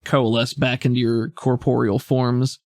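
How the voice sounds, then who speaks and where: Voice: mid-range voice, little pitch movement, a little slow, darkish tone, no echo, studio quality. A game master casually narrating a tabletop roleplaying game and explaining its rules.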